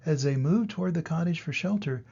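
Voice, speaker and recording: An older man reads a children's storybook in a husky, gravelly voice pitched a little high for a man. He narrates deliberately, with dramatic emphasis. The recording is slightly muffled and lo-fi. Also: mellow. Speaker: grandfather reading stories aloud.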